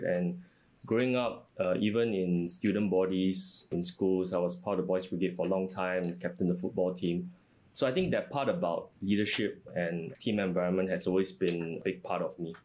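Male finance exec in his 30s, very low voice, steady delivery with fillers. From Singapore. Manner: measured, with frequent fillers like "you know" and "uh". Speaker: company CFO, guest. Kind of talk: interview answers.

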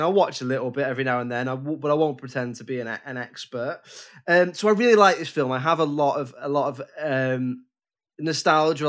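A man's voice: mid-range voice, a bit bright. A man co-hosting a film podcast, explaining his pick in a loose, rambling way with frequent restarts. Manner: rambling, hesitant with many restarts. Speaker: podcast co-host. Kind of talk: casual chat.

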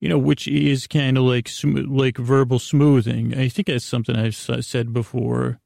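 Man, podcast host, gravelly creaky voice, soothing bedtime monologue, halting and meandering, dry close sound. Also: mid-range voice, little pitch movement, a little mellow, no echo. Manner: meandering, halting, often trailing off mid-thought; soothing, lulling delivery.

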